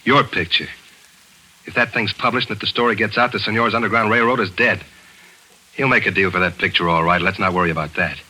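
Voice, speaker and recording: A man's voice: on the low side, fast, sharp tone, slightly rough, exaggerated voice, poor audio. A cool, cynical tough guy. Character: Bill Baldwin, hard-boiled American man, cool and cynical. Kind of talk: 1940s radio acting. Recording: old radio broadcast.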